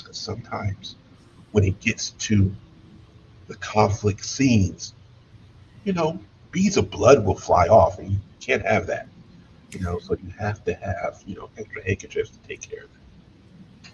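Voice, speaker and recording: A man's voice: lowish voice, gravelly. A man doing a sly whispering drow noble. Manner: whispering, confiding. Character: affluent masked male drow noble, smooth and sly. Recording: dull distant room mic with faint hiss.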